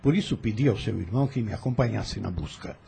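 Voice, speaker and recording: An actor playing a stern, authoritative landowner in a radio drama, curt and commanding. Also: male voice, lowish voice, lively intonation, quick pace, vocal fry, mellow, slight background noise.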